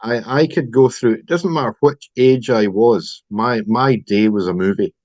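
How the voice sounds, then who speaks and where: A middle-aged Scottish man telling his personal story on a podcast over a remote call, in a reflective, halting way with many pauses and ums. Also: mid-range voice, a little mellow, no room sound, lo-fi sound. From Scotland. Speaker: podcast guest.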